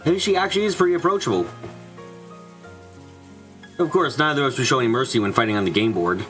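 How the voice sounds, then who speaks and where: A man voicing a blunt, warm-hearted young man who talks informally in a visual novel fan dub. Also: medium pitch, lively intonation, fast, soft background music, voice pushed down, close to an everyday voice. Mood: musing.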